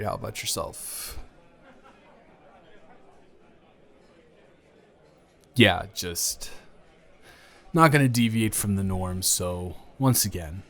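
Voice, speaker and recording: A man plays a smitten, jealous-hearted guy in an improvised romance audio. His voice sits fairly low and moves very slowly, halting and awkwardly playful as he teases. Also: vocal fry, a bit bright. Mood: relaxed, friendly. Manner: halting, hesitant, teasing.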